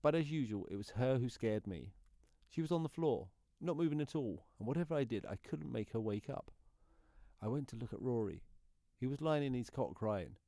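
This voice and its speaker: A man's voice: mid-range voice, expressive, moderate pace, warm tone, no room sound, clean studio sound, lightly acted. A man playing an anxious, guilt-ridden neighbour confessing in a radio play monologue. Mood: anxious, shaken.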